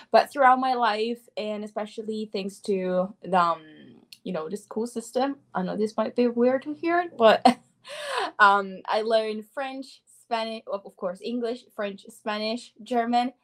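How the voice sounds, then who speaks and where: Young Italian woman, chatty guest. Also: mid-range voice. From Italy. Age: 20s. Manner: upbeat, chatty, laughs often. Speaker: guest, language learner. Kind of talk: casual interview chat.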